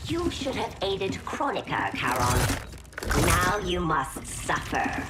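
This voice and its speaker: A woman's voice: lowish voice, very animated, slow, very noisy. A woman voicing a cold insectoid female hive warrior in a game cutscene, calling herself "this one". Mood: menacing. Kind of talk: video game cutscene acting. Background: loud game score music.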